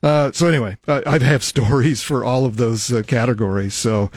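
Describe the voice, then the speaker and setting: Halting older American man hosting radio, dry sound. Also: mid-range voice, darkish tone, dry recording. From Nebraska, United States. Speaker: radio talk show host. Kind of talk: casual radio monologue. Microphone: close studio microphone.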